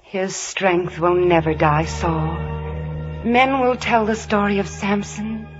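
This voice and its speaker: A woman's voice: mid-range voice, fairly even intonation, slow pace, natural voice. An actress playing a solemn, consoling biblical woman in 1950s radio drama style. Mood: reverent. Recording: muffled old radio, music behind.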